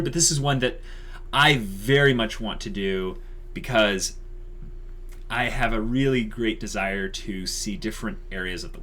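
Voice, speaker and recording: A man's voice: on the low side, a bit bright. A man co-hosting a YouTube channel, chatting casually and unscripted in an enthusiastic, emphatic way, repeating himself for emphasis.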